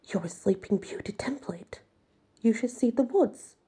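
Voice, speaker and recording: A woman's voice: on the high side, expressive, unhurried, mellow, slightly rough, voice pushed up, full character voice. A woman voicing a stern, impatient, demanding woman who leads a teen therapy group in an audiobook. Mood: stern, exasperated. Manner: probing, demanding.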